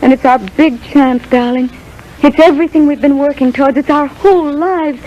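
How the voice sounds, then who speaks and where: A woman playing a gently insistent, self-effacing doctor's wife in a 1930s radio drama. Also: sits high, normal speed, dull audio, close to an everyday voice. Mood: loving, wistful. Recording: noisy vintage 1930s radio broadcast.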